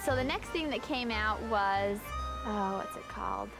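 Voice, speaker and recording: A woman's voice: medium pitch, lively intonation, unhurried, loud music behind the voice, low-quality recording. A young woman, a reality show contestant, telling her confessional story with enthusiasm. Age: young adult. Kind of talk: confessional interview.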